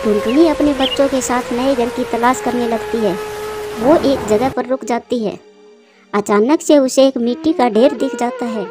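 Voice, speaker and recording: Female storyteller, high voice, scripted children's narration, music underneath. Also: unhurried. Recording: music bed behind the voice.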